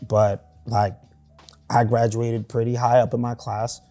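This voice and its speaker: A man's voice: medium pitch, little pitch movement, measured pace, warm tone, faint music behind. A young man from Brooklyn with a heavy New York accent, talking casually as a panelist and often checking in with "right?". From Canarsie, Brooklyn, New York. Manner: conversational, frequent "right?" check-ins. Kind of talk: casual discussion.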